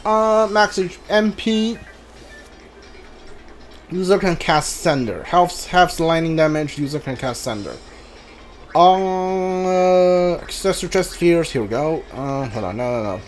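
A video game player giving casual live playthrough commentary, thinking aloud in a halting way with mumbled asides. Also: medium pitch, lively intonation. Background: video game music.

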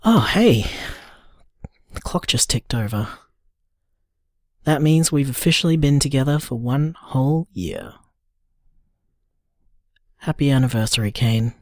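A tender, upbeat young man in an audio drama. His mid-pitched voice moves expressively through soft, affectionate musing. The sound is dry and intimate. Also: expressive, measured pace, pauses often, no room sound.

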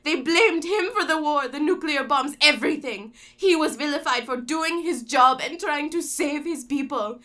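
Actress as bitter war widow for radio drama. Emotional, high, bright and forceful. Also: female voice, moderate pace, few pauses. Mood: angry, accusing. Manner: emotional, tearful, prone to outbursts. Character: grieving, embittered widow of a war-ruined country.

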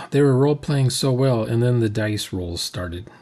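A man's voice: medium pitch, lively intonation, a little slow, vocal fry, darkish tone. An older man giving casual reaction commentary, speaking emphatically and repeating phrases for effect. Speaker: commentator reacting to a recorded roleplay session.

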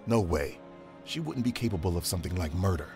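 A man's voice: low-pitched, animated, moderate pace, darkish tone. A man acting a world-weary, dry-witted police detective in an audio drama, loyal and sardonic. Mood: protective. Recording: prominent music under the dialogue.

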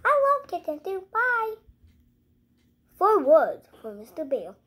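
Little kid reading a picture book out loud, high voice, slow going, playful asides. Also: wildly swooping pitch. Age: child. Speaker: young host of a read-aloud channel. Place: living room at home, indoors.

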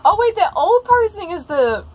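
A woman's voice: very high-pitched, sing-song, normal speed, a little mellow. A woman giving casual, easily amused reaction commentary, thinking aloud as a cartoon plays. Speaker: reactor commenting on an animated series. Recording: music playing behind. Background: soundtrack of the cartoon being watched.

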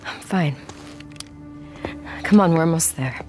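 A woman's voice: sits low, slow, a little mellow, faint music behind. An American actress playing a determined, anxious woman scientist in a cinematic survival video game, with earnest, natural delivery. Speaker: video game character actor.

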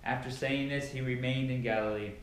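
A man's voice: medium pitch, fairly even intonation, a little fast, very echoey. A man in his thirties giving an earnest, emphatic dramatic reading of scripture aloud, heard from a far camera in a large bare room. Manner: earnest, emphatic, occasional stumbles and chuckles. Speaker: Bible reader. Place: large empty carpeted living room, indoors. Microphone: far camera, standing reading from a book.

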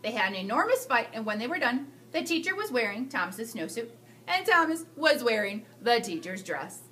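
A woman in her thirties reading a picture book aloud to children, with expressive, drawn-out emphasis. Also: fairly high pitch, slight background noise. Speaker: school staff storyteller reading to children. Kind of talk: animated children's read-aloud.

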